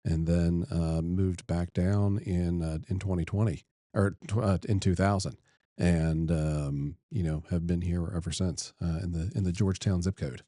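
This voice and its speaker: Deep-voiced, easygoing middle-aged man. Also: steady tone, mellow, no echo, studio quality. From Delaware, USA. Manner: easygoing, folksy, unhurried storytelling. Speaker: state senator, guest. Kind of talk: casual interview.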